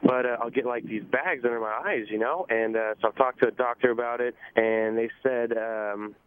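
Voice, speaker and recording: A man's voice: medium pitch, steady tone, normal speed, clean tone, no echo. A man in his twenties calling in to a radio show, hesitant and full of uhs and likes. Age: 20s. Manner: hesitant, many fillers. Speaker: caller. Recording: tinny phone line with faint noise.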